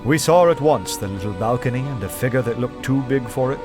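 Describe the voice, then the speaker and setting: A reflective detective narrates a radio play, played by a man. His medium voice is measured and literary, set over clear background music. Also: medium pitch, expressive, close to an everyday voice. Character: reflective male detective recounting his adventure. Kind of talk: scripted dramatic narration.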